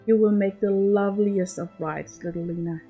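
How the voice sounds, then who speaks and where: A woman's voice: mid-range voice, steady tone, normal speed, mellow, husky, lo-fi sound. A genteel countess, played by a woman narrator from a period storybook. She is a proud, shrewd mother with a gracious manner. Faint music sits behind her. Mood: doting.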